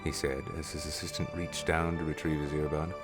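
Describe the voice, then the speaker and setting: A man's voice: rumbling low, little pitch movement, brisk, music in the background. A man narrating a scripted story in the third person.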